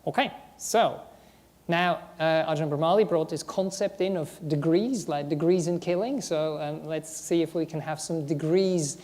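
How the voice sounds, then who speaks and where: A woman with a German accent, a Buddhist monastic co-teaching a workshop, explaining in a halting way with frequent "um" and restarts. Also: deep voice, a little mellow. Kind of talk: workshop discussion. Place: Buddhist centre hall.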